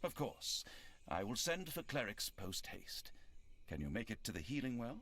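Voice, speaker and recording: An actor playing an earnest, idealistic fantasy lord in a video game, speaking formally and solemnly. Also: male voice, medium pitch, very animated, a bit bright. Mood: resolute, earnest. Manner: formal, solemn, measured diction. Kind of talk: video game cutscene acting. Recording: dramatic music behind.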